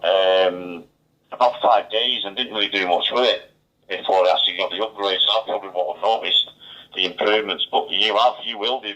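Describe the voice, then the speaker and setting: Middle-aged man, low pitch, chatty amateur radio operator, dull narrow radio sound. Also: bright, forceful tone. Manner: rambling, chatty. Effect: two-way radio transmission. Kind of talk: casual radio chat. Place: mobile, speaking over two-way radio. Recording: muffled digital two-way radio audio.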